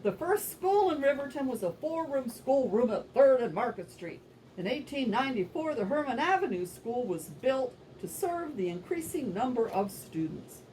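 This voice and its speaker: High, animated woman in a puppet show. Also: moderate pace. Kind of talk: scripted puppet show narration. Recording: distant microphone, faint background noise.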